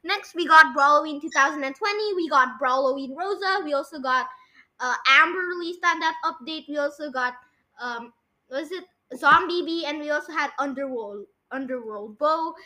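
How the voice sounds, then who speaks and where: A young podcast host with a high, bright, forceful voice. The delivery is casual quiz chat with a bossy, correcting edge, like a kid running the show. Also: moderate pace.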